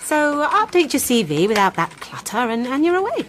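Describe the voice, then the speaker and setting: An actress in a radio play playing a brisk, officious female job-programme adviser with a condescending manner. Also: high-pitched, big pitch swings, a bit bright, a little hiss or hum.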